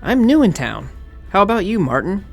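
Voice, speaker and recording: A man's voice: high voice, big pitch swings, moderate pace, gravelly, faint music behind, full character voice. A man playing a nerdy, know-it-all young fraternity pledge in an audio horror drama, explaining things eagerly. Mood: eager, helpful. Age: young adult.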